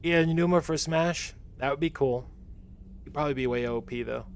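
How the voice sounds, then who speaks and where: A man's voice: fairly high pitch, normal speed, smooth. A man streaming live, chatting casually in a laid-back, rambling way with slang, his voice a little worn. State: voice getting worn. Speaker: streamer reacting to a game presentation. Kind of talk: casual livestream chat.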